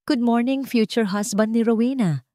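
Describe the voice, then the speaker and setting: A woman's voice: on the high side, moderate pace, dark tone, dry recording, studio quality, pitched above the performer's own voice, lightly acted. A woman playing an anxious, vengeful young heroine in an audiobook drama, urgent and emotional. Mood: teasing.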